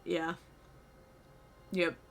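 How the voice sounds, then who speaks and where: A young woman streamer with a low, fried voice, chatting casually with her viewers in a rambling, loose way, her voice a little tired and sore. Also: extremely slow. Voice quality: low voice with vocal fry. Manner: rambling, talks to chat, swears casually. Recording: faint music behind.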